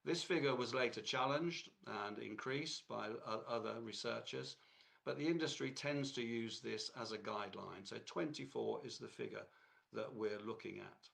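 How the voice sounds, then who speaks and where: Hoarse, gravelly man lecturing. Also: medium pitch, fairly bright tone. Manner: halting, with many fillers and restarts. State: hoarse from a cold. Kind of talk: technical lecture.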